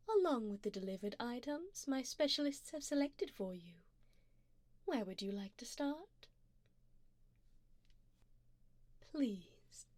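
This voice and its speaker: Woman acting soft, unhurried, doting vampire mistress. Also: sits high, no echo. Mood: indulgent, reassuring. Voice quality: soft, breathy, often slipping into whisper. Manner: refined, formal, coaxing, gently commanding. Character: wealthy, doting, possessive female vampire sugar mommy. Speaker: ASMR roleplay voice actor. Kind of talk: ASMR roleplay, soft and intimate. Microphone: binaural 3Dio microphone, close up.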